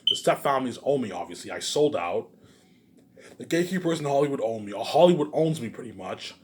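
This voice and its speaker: A man rants casually and off the cuff, as if to his online followers. His pitch swings widely around an average male register. He hammers on the same phrases with emphasis, then drops away into slangy trailing asides. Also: expressive, a little fast, darkish tone. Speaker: solo online vlogger. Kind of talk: casual unscripted monologue rant.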